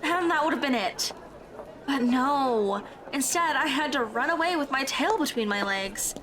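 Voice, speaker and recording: A woman playing an awkward, nervous high school girl in an audio drama, a little hesitant, with music behind her. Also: expressive, a little slow, crowd noise, noisy background. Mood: self-reproachful. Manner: hesitant, stammering when flustered.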